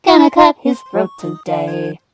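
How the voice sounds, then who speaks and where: A woman's voice: fairly low pitch, big pitch swings, a little slow, muffled recording, music in the background. A woman voicing a mischievous, gleefully murderous child in a comedic comic dub, theatrical and mocking. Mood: menacing, teasing. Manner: theatrical, mocking, prone to shouting.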